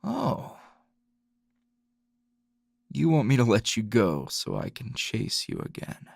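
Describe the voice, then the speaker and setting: A man performs a gruff, teasing male anime hero for an ASMR roleplay. The voice is gravelly and dry, recorded close without room sound. Delivery swings widely in pitch, halting and flirtatious. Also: wildly swooping pitch, darkish tone, no echo, full character voice. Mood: playful, teasing. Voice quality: gravelly, husky. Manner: slow, teasing, halting with sighs and chuckles. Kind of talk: ASMR roleplay, intimate scripted acting.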